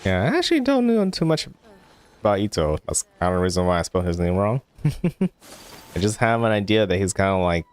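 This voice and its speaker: Casting: male let's player, deep voice, lively intonation, casual reactive musing. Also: a little fast, smooth. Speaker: game streamer. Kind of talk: casual let's play commentary.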